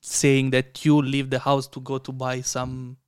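A young man from Italy, a guest on a podcast, chatting in an easygoing way and leaning on "like". His voice is smooth and clear, with little rise and fall in pitch. The sound is close and dry. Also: a little slow, dry recording. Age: 20s. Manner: relaxed, leans on "like" a lot. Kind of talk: casual conversation.

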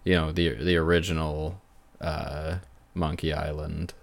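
A man speaking as a podcast co-host in casual, unscripted talk about games, feeling his way through sentences with halting phrasing. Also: rumbling low, little pitch movement. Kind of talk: casual unscripted video game discussion.

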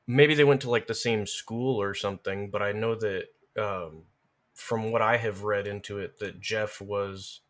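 A man with a somewhat low voice talks as a guest in an informal discussion. He is measured and thoughtful, often qualifying what he says. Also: steady tone, a bit bright. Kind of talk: casual discussion.

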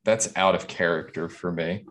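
Man podcast co-host, very deep voice, dry sarcastic banter. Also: fairly even intonation, moderate pace, a bit bright. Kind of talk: casual chat.

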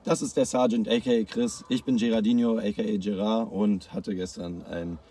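A male podcast host, a bit hoarse, talking upbeat and slangy while interviewing a guest. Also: fairly low pitch, fairly even intonation, a little fast, dark tone, far from the mic. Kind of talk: casual interview chat.

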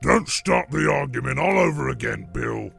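A man voicing a belligerent, brutish troll with a rough, coarse voice in a storybook reading. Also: medium pitch, lively intonation, moderate pace, a bit bright, faint music behind. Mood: indignant. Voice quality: rough, gravelly. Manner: blustering, coarse rustic speech. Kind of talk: audiobook character voice.

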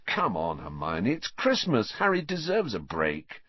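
A man voicing a brash, cheeky teenage schoolboy in a storybook reading, blunt and excitable. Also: lowish voice, unhurried, breathy edge, no room sound, lo-fi sound, exaggerated voice. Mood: scoffing.

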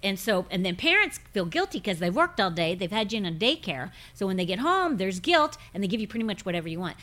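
A woman's voice: medium pitch, sing-song, quick pace, fairly bright tone. Forthright woman in her fifties. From grew up in Pentecostal churches. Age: 50s. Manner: forthright, preachy, emphatic. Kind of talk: casual podcast chat on faith.

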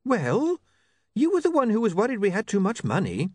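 A man doing a brisk practical young woman. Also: high-pitched, huge pitch swings, no echo, clean studio sound, voice pushed up, heavily acted.

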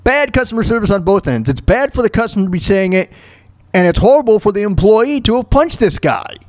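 A man hosting a talk show with casual off-the-cuff news commentary, halting and repeating himself in an exasperated way. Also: high voice, very animated, no room sound, studio quality. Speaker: podcast host commenting on news clips.

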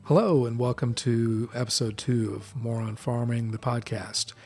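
A man hosting a casual podcast, reading a scripted intro and then asking relaxed questions with fillers. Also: medium pitch, moderate pace, gravelly, faint music behind. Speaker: podcast host.

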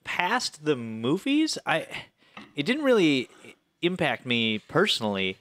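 A man's voice: a little high, huge pitch swings, takes it slowly, fairly bright tone. A thirty-year-old American man telling personal stories in casual, informal chat with some swearing. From Flint area, Michigan, US.

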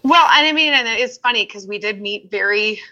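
Bright-voiced woman sharing her story. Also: mid-range voice, a little fast. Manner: open, emphatic storyteller who acts out quotes. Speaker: guest. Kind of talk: candid personal conversation.

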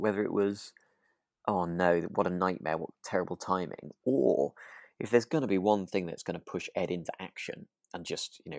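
Low, creaky-voiced man. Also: no room sound. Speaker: podcast co-host. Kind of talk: relaxed casual chat.

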